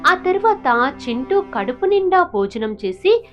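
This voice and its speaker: A woman's voice: extremely high pitch, sing-song, unhurried, fairly bright tone, lo-fi sound, faint music behind. A woman narrating a scripted moral story expressively, as a storyteller does.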